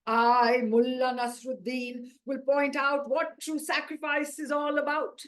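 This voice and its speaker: A woman puts on a bearded mullah preaching solemnly in a storybook. The delivery is deliberate and oratorical, raised in pitch, with weighty stresses on key words. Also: flat delivery, a bit bright. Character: grave preaching bearded male mullah on video.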